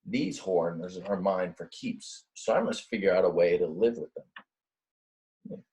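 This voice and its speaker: A man's voice: deep voice, slow pace, warm tone, rough recording. Man reading as a glum little bighorn ram. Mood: dejected. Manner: grumbling, groaning, sighing. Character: glum young bighorn ram, self-pitying but determined.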